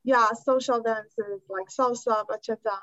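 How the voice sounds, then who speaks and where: A woman from Iran with an Iranian accent, speaking English as a second language, softly and with frequent laughs. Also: a little high, steady tone, measured pace, no room sound. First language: Persian. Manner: soft-spoken, laughs often, slightly broken English. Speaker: guest dancer. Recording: dull dry sound.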